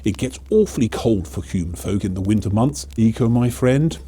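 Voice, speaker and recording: A man's voice: fairly low pitch, expressive, normal speed, mellow, husky. A man playing a thoughtful old male forest elf who speaks in a considered way in a storybook. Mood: pondering.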